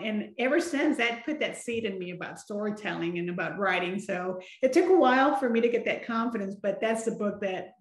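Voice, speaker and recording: A woman in her forties from San Antonio, moderating a panel over a video call, conversational and quick to laugh. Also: medium pitch. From San Antonio, Texas. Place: small room at home. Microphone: video call webcam. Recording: echoey room on video call.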